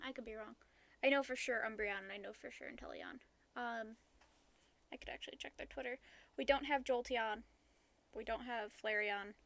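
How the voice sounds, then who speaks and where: A woman's voice: on the high side, gravelly, a bit bright. A woman co-hosting a casual podcast, talking in a hesitant, hedging way with many ums and restarts. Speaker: podcast co-host.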